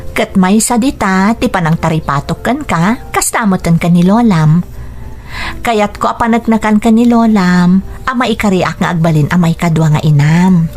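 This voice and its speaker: A woman playing a patient, dutiful wife and stepmother in a Philippine radio drama, speaking in an earnest, gentle way. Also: medium pitch, moderate pace, faint music behind, lightly acted. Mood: gentle, reassuring.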